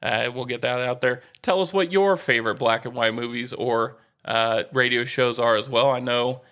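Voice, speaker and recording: Bright-voiced man bantering on a podcast. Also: medium pitch, normal speed. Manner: teasing, jokey, informal. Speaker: podcast co-host. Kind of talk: casual bantering sports and food chat.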